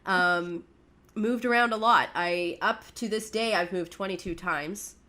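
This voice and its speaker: A woman's voice: medium pitch, unhurried, bright, forceful tone. A young woman from Canada, co-hosting a podcast and telling her life story in a candid, chatty way, often laughing at herself. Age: young adult. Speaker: podcast co-host. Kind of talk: casual personal storytelling.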